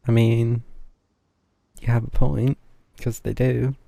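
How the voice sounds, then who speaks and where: A woman with a very deep, gravelly voice and a mellow tone gives casual game commentary. She is dry and understated, with faint noise and clicks behind. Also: flat delivery, slow pace. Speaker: livestreamer playing a game.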